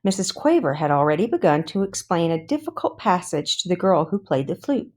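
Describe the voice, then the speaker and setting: A woman narrating a children's book aloud in a careful, deliberate read-along style. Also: fairly low pitch, sing-song. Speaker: storybook reader.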